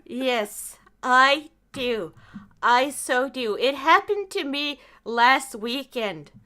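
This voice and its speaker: High, bright-voiced woman chatting. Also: drawn-out words. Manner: animated storyteller who acts out own reactions. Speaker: podcast host. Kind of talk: casual chat.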